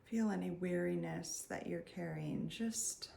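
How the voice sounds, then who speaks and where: A woman leading a guided meditation in a soft, soothing voice, leaving long pauses and sometimes trailing near a whisper. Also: fairly low pitch, vocal fry. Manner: soothing, unhurried, with long pauses between phrases. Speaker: meditation guide and energy healer.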